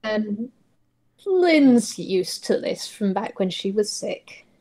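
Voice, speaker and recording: A woman narrating roleplay prose as a player, reading dramatically in halting phrases. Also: mid-range voice, very animated, dark tone.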